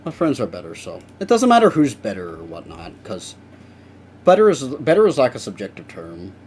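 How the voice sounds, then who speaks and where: A man's voice: mid-range voice, huge pitch swings, brisk, darkish tone, slight background noise. A young man chatting casually with viewers on a live stream while he works, rambling and swearing now and then.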